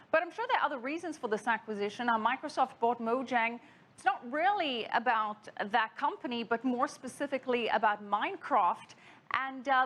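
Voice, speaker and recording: A woman's voice: a little high, a bit bright. A woman anchoring a broadcast news interview, putting questions to a guest.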